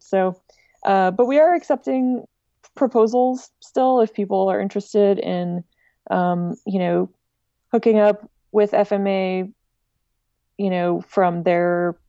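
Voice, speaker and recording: Female interview guest. Soft mellow tone, informal and wry, dry but slightly dull lo-fi sound. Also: mid-range voice, no echo. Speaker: interview guest, director of an online music archive. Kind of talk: casual spoken interview.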